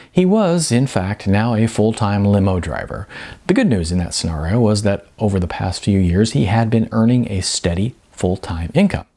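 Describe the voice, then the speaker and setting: Man, low pitch, author-narrator, audiobook-style storytelling, casual asides. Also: a little mellow. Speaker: author reading from own novel.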